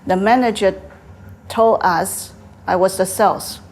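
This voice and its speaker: An older woman from Taiwan with a Taiwanese accent, answering interview questions in halting English with frequent fillers. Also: medium pitch, slight background noise, low-quality recording. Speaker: guest, tea house owner.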